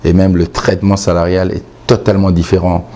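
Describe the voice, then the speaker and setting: A man's voice: deep voice, level tone, brisk, mellow, slight background noise. A man with a Congolese French accent giving outspoken, emphatic opinions in a sports interview, often repeating points for stress. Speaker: sports commentator being interviewed.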